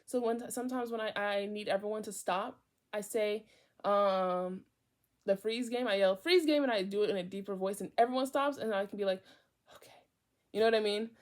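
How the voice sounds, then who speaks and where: A young woman from Virginia reading a book aloud in a casual way, often drifting into chatty side remarks, with a high and often loud voice. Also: brisk. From Virginia, USA. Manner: chatty, digressive, mixes reading with asides. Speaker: book reader. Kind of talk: casual read-aloud with tangents.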